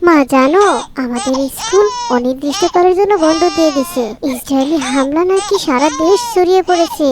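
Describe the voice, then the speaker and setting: An earnest little schoolgirl in a cartoon, voiced by a woman, with a very high, piping voice. She speaks politely and eagerly, like a dutiful child running errands. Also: expressive, a little fast. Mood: worried. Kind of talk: cartoon voice acting.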